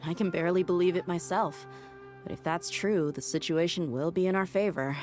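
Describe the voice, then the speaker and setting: A woman's voice: lowish voice, fairly quick, close to an everyday voice. An actress playing an earnest young female adventurer in a video game. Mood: surprised, hopeful. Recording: music behind the voice.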